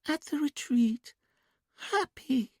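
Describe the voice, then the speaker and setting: A man voicing a hypnotized young woman who answers in a quiet, childlike sing-song whisper. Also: high-pitched, animated, no echo, clean studio sound, voice pushed up, full character voice. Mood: dreamy trance. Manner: slow, drifting answers.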